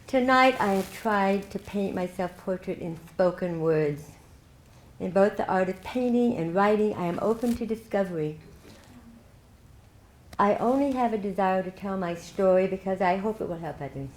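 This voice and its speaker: A woman's voice: medium pitch, normal speed. Older woman giving a speech in an echoey hall. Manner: reflective, warm storytelling with light laughs. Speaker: keynote speaker. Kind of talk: speech to a club meeting. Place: meeting hall. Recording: echoey hall, faint noise.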